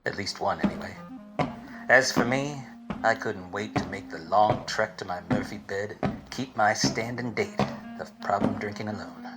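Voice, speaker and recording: An actor playing a wise-cracking but obtuse hard-boiled private detective in a parody of 1940s radio drama, with wry, self-important delivery. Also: male voice, on the low side, moderate pace, loud music behind the voice, close to an everyday voice, poor audio. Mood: brooding.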